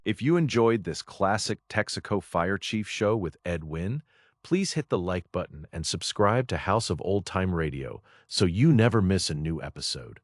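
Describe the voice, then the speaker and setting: Low, lively-pitched male channel host. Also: moderate pace, dry recording, studio quality. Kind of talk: scripted outro narration.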